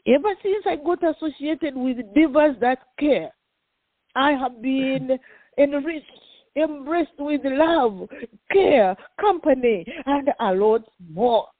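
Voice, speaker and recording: A woman's voice: sits high, slow, no room sound. Ugandan woman in her sixties on a phone line. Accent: Ugandan, with some American Midwest. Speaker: guest, professor and pastor. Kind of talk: radio interview, self-introduction. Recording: tinny phone line, dry.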